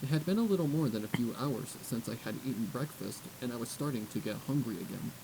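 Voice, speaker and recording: A man's voice: mid-range voice, lively intonation, quick pace, creaky, mellow, slight background noise, low-quality recording. A man reading a story aloud as an audiobook narrator, in a scripted narration style.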